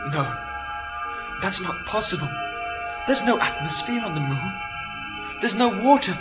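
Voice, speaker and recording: Actor as an agitated, high-voiced British astronaut. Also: male voice, expressive. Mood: anxious, disbelieving. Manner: agitated, incredulous, halting. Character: lonely stranded British astronaut, anxious and disbelieving. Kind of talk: radio drama acting. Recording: prominent music behind the voice.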